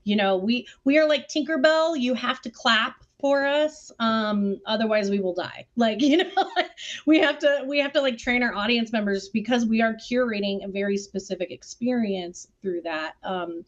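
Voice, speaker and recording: A woman's voice: mid-range voice, lo-fi sound. A woman chatting casually on a panel as a burlesque performer, in a rambling, informal way full of fillers.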